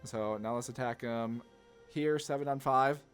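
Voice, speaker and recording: A man's voice: mid-range voice, a little slow, clean tone. Casual man thinking aloud, music behind. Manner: informal, rambling, thinking through moves aloud. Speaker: gaming content creator, player.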